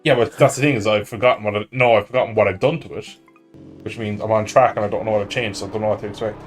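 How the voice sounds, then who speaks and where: A man's voice: lowish voice, steady tone, racing, faint music behind. A man with an Irish accent chatting casually and joking while streaming a motorbike racing game. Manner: joking, rambling, self-mocking. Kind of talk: casual chat while gaming.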